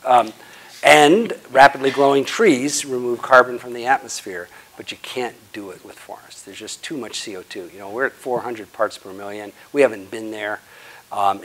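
A low-voiced man in his sixties answers audience questions at a lecture, leaning hard on key words and then letting each phrase trail off softly. He speaks into a clip-on lapel mic in a conference room. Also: rough recording. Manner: emphatic, trailing off quietly at phrase ends. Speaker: guest speaker.

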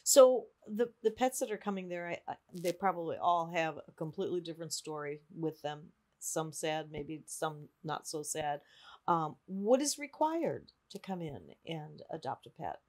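A woman's voice: deep voice, expressive, moderate pace, darkish tone. An older woman interviewing in a conversational, chatty way, readily chiming in with agreement. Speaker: interview host. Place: small indoor studio-office, seated.

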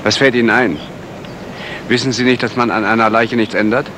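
Man acting a brisk police inspector, noisy recording. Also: medium pitch, fairly even intonation, a bit bright, natural voice. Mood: stern. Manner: clipped, insistent questioning. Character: brisk, probing male police detective inspector. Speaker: actor in a crime radio play. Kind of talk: 1970s German radio drama acting. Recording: noisy vintage radio play recording.

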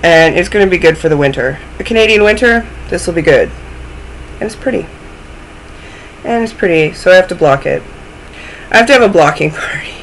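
A woman's voice: on the low side, expressive, a little fast, pauses often, a little hiss or hum, rough recording. A young woman hosting a knitting podcast from home, chatting casually to a webcam with a stuffy, snuffly voice and self-deprecating asides. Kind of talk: casual chat to camera. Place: small room at home, indoors.

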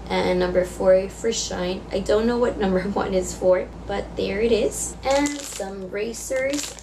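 Young female beauty vlogger, hoarse from illness, casual and brisk, mid pitch, noisy home recording. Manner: chatty, quick product run-through. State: raspy voice from being sick. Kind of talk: casual haul vlog. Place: small indoor room against a plain wall.

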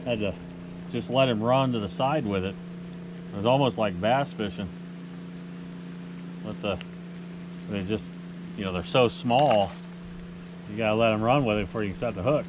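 A man's voice: on the low side, moderate pace, pauses often. A middle-aged American man giving casual, folksy, rambling commentary to viewers as he fishes. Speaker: fishing vlogger and tournament angler. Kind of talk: casual running commentary to camera. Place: outdoors on a small boat on a lake. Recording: outdoor action-camera sound with heavy ambient noise.

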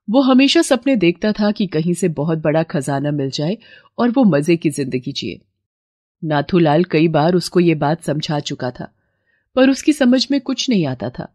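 A woman narrating a cartoon moral story in steady scripted style. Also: on the low side, dry recording.

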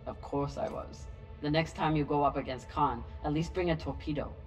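An actress playing a cool, capable, dry-witted spaceship officer in a sci-fi video game. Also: female voice, low voice, steady tone, normal speed, a little mellow, distant mic, soft background music, natural voice, low-quality recording. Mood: relieved, wry.

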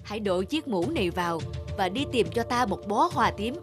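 A woman's voice: medium pitch, lively intonation, a little fast, music in the background, close to an everyday voice. A woman voicing a cruel, scheming middle-aged stepmother in a children's fairy-tale cartoon, scolding and commanding. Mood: harsh, commanding.

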